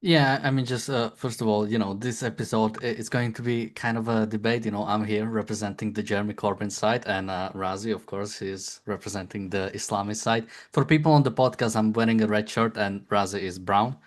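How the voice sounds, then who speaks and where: A male podcast co-host with a somewhat low voice talks through a political story in a casual way. He cracks jokes as he goes and often stumbles, with fillers and restarts. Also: steady tone. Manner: joking, halting, many fillers and restarts.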